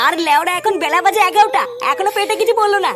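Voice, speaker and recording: A woman's voice: very high voice, rapid-fire, background noise. A woman voicing a belligerent, foul-mouthed father in a comic cartoon. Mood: grumbling. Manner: belligerent, complaining. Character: hot-tempered, foul-mouthed father of missing boy.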